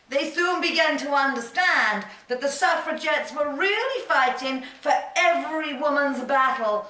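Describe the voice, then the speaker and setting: Woman acting as proud old suffragist, chatty and reminiscing, high voice, unhurried, roomy distant sound. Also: fairly bright tone, heavily acted. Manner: chatty, reminiscing, laughs often. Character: spirited older woman suffragist, proud, warm-humored. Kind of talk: theatrical monologue in character.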